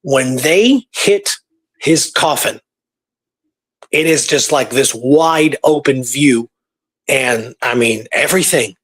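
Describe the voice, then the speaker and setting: A man's voice: medium pitch, slow, a bit bright, breathy edge. A man co-hosting a show, talking casually through history and voicing imagined thoughts as he tells it. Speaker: radio show co-host.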